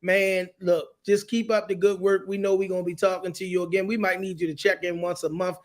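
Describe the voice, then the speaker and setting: A man's voice: high voice, level tone, a little fast. A man hosting a live-stream show, talking in a hyped, slangy, effusive way. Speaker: show host. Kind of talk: casual live-stream chat.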